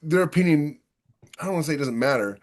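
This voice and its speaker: A man's voice: on the high side, quick pace. A man hosting a casual podcast chat, making his points emphatically and with repetition. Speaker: podcast host and author.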